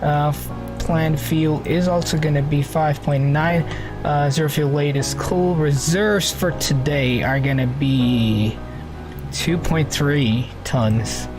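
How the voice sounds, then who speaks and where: A man's voice: on the high side, fairly even intonation, slow. Chatty man streaming a flight sim. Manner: chatty, thinks aloud while setting up the cockpit; greets and thanks viewers warmly. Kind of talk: casual live-stream commentary. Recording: music playing under the voice.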